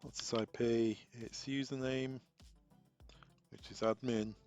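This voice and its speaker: A man's voice: mid-range voice, steady tone, slow pace, a little mellow, faint music behind. A man presenting an unscripted technical tutorial, informally talking through each step aloud as he goes. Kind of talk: unscripted step-by-step software walkthrough.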